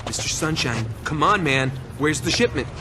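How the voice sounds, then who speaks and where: An actor playing a desperate, agitated drug addict in a video game, pleading aggressively. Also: male voice, fairly high pitch, expressive, normal speed, background noise, close to an everyday voice. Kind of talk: video game cutscene acting.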